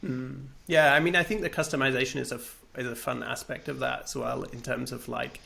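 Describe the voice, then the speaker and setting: A man's voice: mid-range voice, little pitch movement, a little fast, creaky, pushed and bright. A man, a game developer on a panel, talking in a thoughtful, hesitant way with many fillers and restarts. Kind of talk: casual panel conversation.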